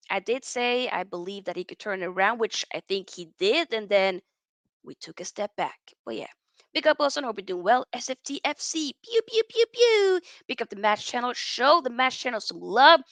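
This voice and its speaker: A woman's voice: on the high side, dry recording. Chatty young woman host, dry close mic. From Norway. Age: 20s. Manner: animated, chatty, many restarts and slang. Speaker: football channel host. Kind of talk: live-stream football chat reading viewer messages.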